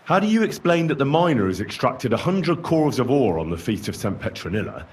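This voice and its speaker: An actor playing a young medieval man calmly and firmly questioning suspects in a video game. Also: mid-range voice, a little fast, slight background noise, lightly acted. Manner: calm, firm interrogating.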